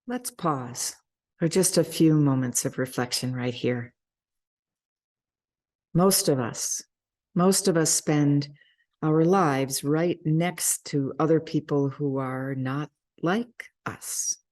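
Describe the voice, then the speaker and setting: A woman giving a reflective sermon as a service leader, deliberate and pausing between phrases. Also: sits low, a little mellow.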